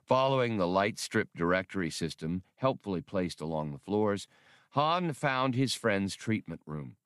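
Low-voiced man narrating an audiobook. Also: big pitch swings, a little slow, a bit bright, smooth, no room sound, clean studio sound. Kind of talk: scripted story narration.

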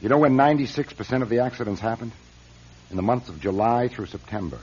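An actor playing a shrewd, guarded car company chief in a radio drama, speaking with authority and probing. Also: male voice, mid-range voice, quick pace, a little mellow, slightly rough, lightly acted. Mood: grave urgent. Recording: dull, muffled radio drama recording.

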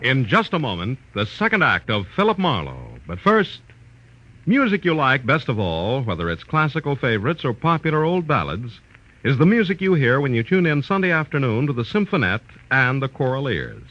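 A man's voice: mid-range voice, sing-song, normal speed, a bit bright, muffled recording. An American man announcing in formal, polished 1940s radio style, reading a scripted promo on an old broadcast recording.

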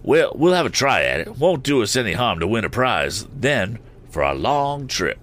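Guy playing practical old-school balloon man in a storybook, brisk n matter-of-fact, voice pushed up. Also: medium pitch, big pitch swings, a bit bright, pitched above the performer's own voice. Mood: game, decided. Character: seasoned male balloonist, brisk, practical, principled. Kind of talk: audiobook character voice.

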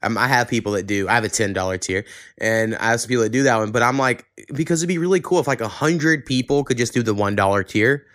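A man's voice: mid-range voice, quick pace, fairly bright tone, no room sound, studio quality. A man hosting a podcast, talking in a casual, conversational way. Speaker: podcast host. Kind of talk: casual chat.

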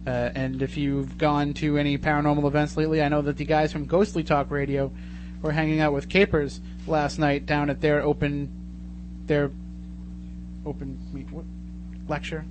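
A man's voice: fairly high pitch, level tone, moderate pace. A man hosting a live talk radio show in a casual, joking, chatty way. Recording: clearly noisy radio studio with hum.

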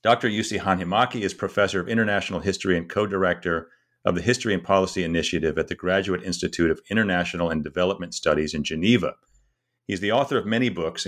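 A man's voice: low-pitched, fairly bright tone. A man hosting a book interview podcast, reading a formal introduction and asking questions. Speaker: podcast host.

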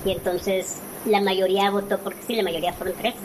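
A Mexican woman in her forties talks as an interview guest, recounting personal experience in a conversational way. Her voice sits at a medium pitch, with clearly audible noisy ambience behind it. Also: steady tone, fairly quick. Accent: Mexican Spanish. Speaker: interview guest, author and speaker.

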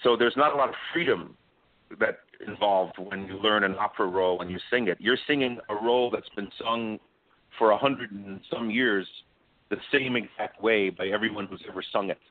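Deep-voiced man on a dry phone line. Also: normal speed, dry recording. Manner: talkative, explains at length with frequent ums. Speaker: opera singer and guest. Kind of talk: remote interview conversation. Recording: dry tinny call line.

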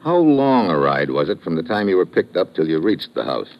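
An actor playing a tough, cool-headed American government investigator in a clipped radio detective drama style. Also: male voice, low voice, big pitch swings, fairly quick, a little hiss or hum. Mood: businesslike. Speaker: lead investigator and narrator. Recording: muffled old radio recording.

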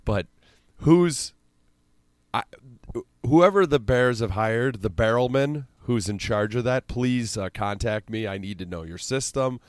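A man's voice: medium pitch, measured pace, no echo. A man with a central Illinois accent, hosting a casual sports podcast with exasperated, sweary rambling.

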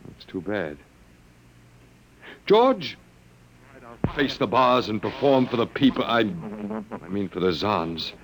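A man's voice: lowish voice, very animated, slow pace, pauses often, a little hiss or hum, full character voice, rough recording. A man playing a sardonic, resigned captive professor in a classic radio drama, musing and hesitating as he talks. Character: wry, resigned male anthropology professor held captive by aliens.

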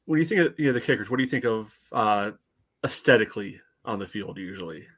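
A man's voice: on the low side, lively intonation, fast talker, a bit bright. A man chatting casually as a sports fan on a podcast, wry and blunt, often saying "you know".

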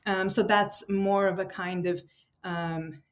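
A woman in her thirties from Turkey, speaking as a guest scholar. She answers in a measured, reflective way, pausing often with ums and restarting her sentences. Her pitch sits in an ordinary middle range. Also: fairly even intonation. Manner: thoughtful, many ums and restarts. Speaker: political science professor, guest. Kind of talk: academic interview answers.

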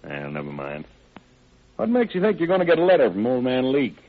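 An actor playing a watchful police investigator who asks dry, probing, plainspoken questions in an old radio crime drama. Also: male voice, mid-range voice, huge pitch swings, fairly quick, narrow, tinny audio. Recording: band-limited vintage radio recording.

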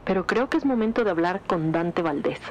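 A woman's voice: fairly low pitch, lively intonation, brisk, a little mellow, a little hiss or hum, close to an everyday voice. A woman playing a serious, analytical detective who briefs a colleague matter-of-factly in an audio drama. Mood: grave, analytical. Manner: matter-of-fact, grave.

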